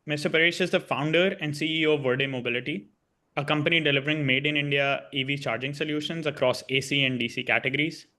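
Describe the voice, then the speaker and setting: A man's voice: a little high, steady tone, quick pace, bright, forceful tone. A man introducing the panelists, reading out their introductions in a formal, scripted way.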